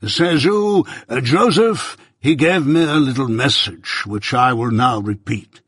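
A man voicing a pompous, self-righteous older merchant who lectures slowly and loudly in a raised, husky voice. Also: mid-range voice, no echo, clean studio sound, pitched above the performer's own voice. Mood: lecturing. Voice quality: pompous, preaching, drawn-out emphasis.

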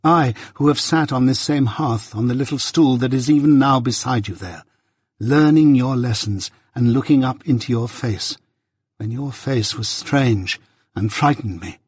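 A male narrator gives voice to a young adopted daughter in a classic novel. The speech is proud and cool, measured and unyielding, recorded dry and close. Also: mid-range voice, breathy edge, no room sound. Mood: proud, insistent.

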